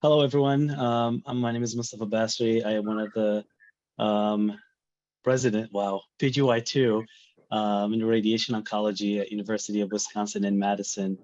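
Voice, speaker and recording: A man's voice: on the low side, fairly even intonation, fairly quick, a little mellow, dry recording, highs cut off. A young man from Iraq, a medical resident, introducing himself hesitantly with many fillers. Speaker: radiation oncology resident, panelist. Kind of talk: casual self-introduction.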